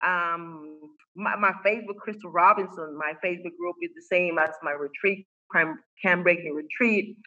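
Woman guest, Spanish as first language, earnest coach, husky tone, muffled call audio. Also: lowish voice, steady tone, normal speed, bright, forceful tone. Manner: earnest, emphatic, many fillers and restarts. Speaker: guest, faith-based women's coach. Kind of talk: podcast interview. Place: home in Costa Rica.

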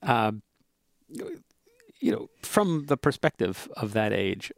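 A man in his seventies talking in a measured, hesitant way with many fillers, as a podcast co-host in casual conversation. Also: medium pitch, very animated, vocal fry, no echo. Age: 70s.

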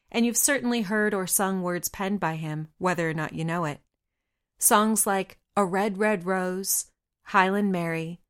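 Woman hosting a chatty literary podcast. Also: medium pitch. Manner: enthusiastic, lightly humorous storytelling. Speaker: podcast host. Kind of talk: scripted podcast narration.

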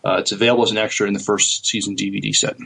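A man co-hosting a podcast, reading news and discussing it casually in a hesitant, halting way. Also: fairly low pitch, little pitch movement, vocal fry, sharp tone, breathy edge, poor audio. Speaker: podcast co-host.

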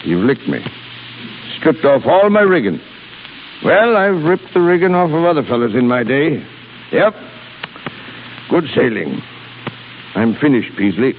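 A man's voice: medium pitch, very animated, a little slow, long pauses. An actor playing a crusty, blustering old shipping boss in his sixties, sputtering salty seafaring talk in 1930s radio style. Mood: defeated. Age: 60s. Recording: crackly, tinny 1930s radio broadcast.

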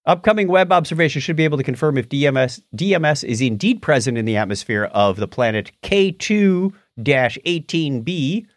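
Male podcast host, very lively intonation, close dry sound, wry and jokey while explaining news in casual banter. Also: fairly high pitch, fairly quick, hardly any pauses, no echo.

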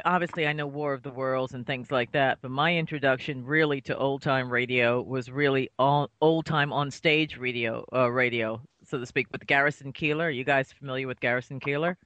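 A man's voice: medium pitch, normal speed, hardly any pauses, sharp tone, no echo. A man from New York chatting casually as a panel participant, in a rambling, enthusiastic way. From New York, United States. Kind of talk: casual chat. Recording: dry but slightly dull, lo-fi.

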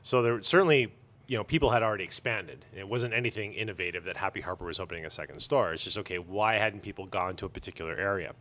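A man, a shop owner answering interview questions casually, choosing his words carefully with pauses. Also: fairly low pitch, fairly bright tone. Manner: measured, careful wording with pauses.

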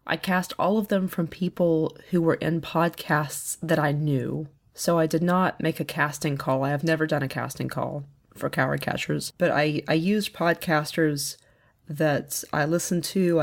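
Woman explaining things in a measured way. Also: low voice, steady tone. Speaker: audio fiction producer and contributor. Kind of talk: informal spoken advice.

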